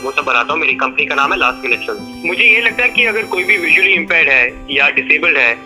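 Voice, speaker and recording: A man's voice: a little high, expressive, fast, pushed and bright, soft background music. A man with an Indian accent, a filmmaker speaking casually about his views and mixing in English. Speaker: filmmaker, radio guest. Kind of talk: casual personal talk mixing Hindi and English.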